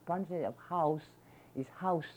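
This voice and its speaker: A woman's voice: low voice, normal speed, crowd noise. An older woman, answering interview questions and explaining things with a rambling "you see.". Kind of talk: oral history conversation. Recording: dull lo-fi recording with faint noise.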